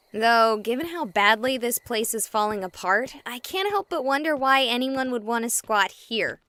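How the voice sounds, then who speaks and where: A woman playing an excitable, chatty young streamer exploring a haunted place in a visual novel, with an expressive, playful delivery. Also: high-pitched, full character voice. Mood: excited, chatty.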